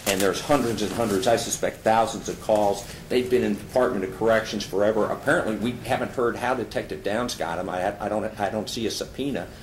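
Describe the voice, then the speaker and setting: Male defense counsel, deep register, formal courtroom argument, noisy court recording. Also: fairly quick, hardly any pauses. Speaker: defense lawyer. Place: indoor courtroom.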